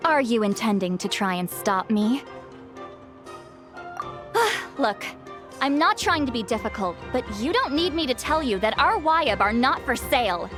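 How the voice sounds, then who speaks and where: Blunt, irritable game heroine, highish and expressive, music playing behind. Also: female voice, a little slow. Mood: challenging, irritated. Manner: blunt, exasperated. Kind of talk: video game voice acting.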